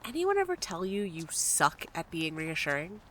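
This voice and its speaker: A woman's voice: fairly low pitch, big pitch swings, normal speed, a bit bright, a little hiss or hum, poor audio. A woman acting in a fiction podcast as a restless, frustrated and blunt character with a sardonic manner. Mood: worried, blunt.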